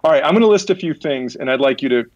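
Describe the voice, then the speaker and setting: A man's voice: a little high, lively intonation, racing, darkish tone, no room sound, muffled recording. Male podcast host, close dry sound. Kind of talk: casual conversational interviewing.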